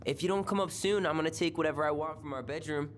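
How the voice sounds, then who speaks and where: An actor voicing a nostalgic, easygoing young adult twin brother in a naturalistic video game style, dry and gently teasing. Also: male voice, fairly high pitch, fast talker, a little hiss or hum, lightly acted. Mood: teasing, playful.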